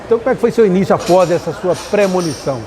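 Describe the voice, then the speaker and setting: A man's voice: sits high, very fast, a crowd in the background. A man conducting an informal interview, often repeating his own phrases as he goes. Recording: noisy location with vehicle sounds.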